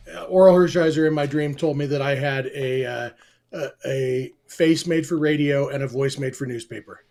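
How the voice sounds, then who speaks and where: A man's voice: mid-range voice, moderate pace, creaky, a little mellow. A man co-hosting a sports podcast in casual chat, explaining points in detail with a dry sense of humor. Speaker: podcast co-host.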